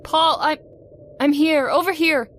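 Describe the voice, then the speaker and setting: A woman's voice: high-pitched, fairly even intonation, measured pace, a little hiss or hum. A woman playing a troubled, entranced wife in an old-time radio horror drama, earnest and dramatic. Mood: haunted. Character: troubled, entranced young wife drawn to the graveyard. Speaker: voice actor.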